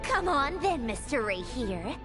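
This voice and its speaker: A woman playing a haughty, sarcastic, sharp-tongued young female villain inventor in an anime-style video game. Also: high voice, sing-song, measured pace. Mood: exasperated, taunting. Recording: dramatic music under the voice.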